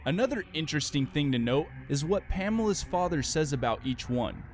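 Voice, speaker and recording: A man's voice: a little high, animated, brisk, slight background noise. A man narrating a scripted, analytical video game theory explainer. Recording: music playing behind the voice. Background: background music.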